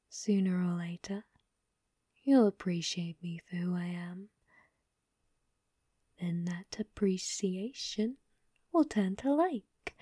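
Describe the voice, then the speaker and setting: A woman voicing a bratty, sadistic yandere young woman in an ASMR roleplay, taunting and breathy, often slipping into whispers. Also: medium pitch, dark tone, dry recording, studio quality.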